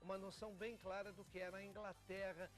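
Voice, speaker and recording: A Brazilian man from a theatre company giving an explanatory TV interview, often pausing and repeating words as he goes. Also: high-pitched, flat delivery. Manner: explanatory, with frequent pauses and repeats. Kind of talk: TV interview talk.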